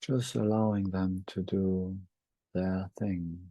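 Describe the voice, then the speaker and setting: A man leading a guided meditation, speaking gently in short, halting phrases with long pauses between them. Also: deep voice, flat delivery, no echo.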